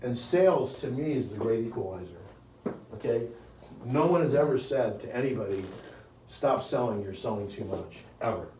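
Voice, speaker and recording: A man's voice: medium pitch, expressive, moderate pace, dark tone, distant mic. A man leading a live sales coaching seminar in a meeting room, chatty and joking with the audience, often checking in with "okay?". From United States. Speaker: real estate sales trainer. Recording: echoey room, recorded from afar, faint hiss.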